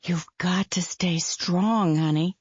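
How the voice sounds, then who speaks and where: A steady, caring woman friend, soothing but firm, speaking with a gentle lift, as a woman reader puts her on. The sound is dry and somewhat dull. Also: fairly low pitch, slow, husky, dry recording. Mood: concerned.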